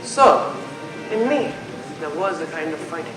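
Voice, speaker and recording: A man's voice: fairly high pitch, sing-song, a little slow. A young man playing a brooding, passionate prince in a school stage Shakespeare play, with theatrical declamation. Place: indoor stage in a hall. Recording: very echoey hall, loud music behind.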